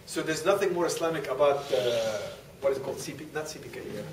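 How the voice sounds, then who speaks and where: A man's voice: on the high side, fast talker, heavy background noise. A man in his forties giving a conversational classroom lecture while standing and gesturing, laughing easily. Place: classroom with display boards. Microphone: far camera. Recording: echoey room, distant camera.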